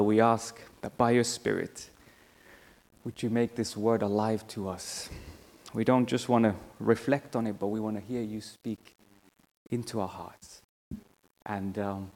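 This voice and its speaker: A man's voice: on the low side, vocal fry, darkish tone. A man with a Finnish accent preaching a sermon to a church congregation. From Finland. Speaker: church member preaching, theological college staff. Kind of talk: sermon with scripture reading and prayer. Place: church hall, speaking to a congregation. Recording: echoey hall with long reverb.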